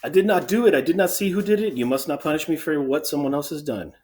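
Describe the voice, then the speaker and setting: A man playing an anxious soldier, halting, wordy and self-excusing, in a dramatic reading of Greek tragedy. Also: on the low side, lightly acted. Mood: frightened pleading.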